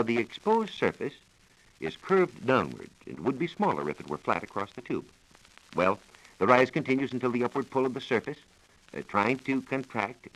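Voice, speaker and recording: A man's voice: medium pitch, rough recording. An American man giving a formal, precise scripted science explanation as a reporter on a 1940s radio programme. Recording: vintage 1940s radio broadcast recording.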